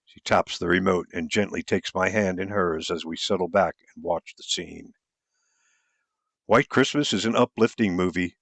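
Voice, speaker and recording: A man's voice: deep voice, normal speed, creaky, a bit bright, no echo. A man narrating a first-person romance story as an audiobook reader, in a dramatic storytelling style.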